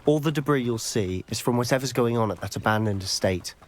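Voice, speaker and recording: A man's voice: mid-range voice, a little fast, a little hiss or hum, lightly acted. A young man voicing a determined, resentful British teenage schoolboy in a video game, intense and fiercely protective. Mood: grim, determined. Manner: intense, earnest, defiant.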